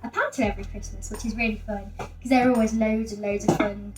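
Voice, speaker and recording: A woman's voice: medium pitch, steady tone, fast talker, loud music behind the voice. A young woman from England chatting casually as an amateur baker while she cooks. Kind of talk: casual chat while baking. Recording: roomy, distant mic.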